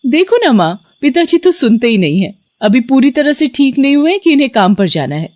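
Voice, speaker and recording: A woman's voice: high-pitched, sing-song, moderate pace, darkish tone, no echo, voice pushed up. A woman voicing an affectionate young daughter who coaxes and chides in a storybook tale. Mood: playful chiding.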